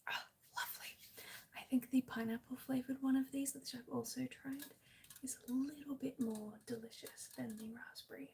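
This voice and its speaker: An Australian woman talks to her live ASMR viewers in a gentle, hushed voice that often drops to a near whisper. Her voice sits a little high and crackles with vocal fry. There is faint noise behind her. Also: steady tone, moderate pace. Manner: gentle, apologetic, chatty. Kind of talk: soft-spoken ASMR live chat with viewers.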